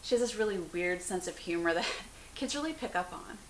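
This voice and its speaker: Wry, candid teen girl, acted by a woman; lowish, bright voice, hissy roomy recording. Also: animated, fairly quick, natural voice. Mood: wry, animated. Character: teenage girl, wry, candid, a bit dramatic. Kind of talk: amateur dramatic reading of dialogue. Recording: roomy echo, hiss in background.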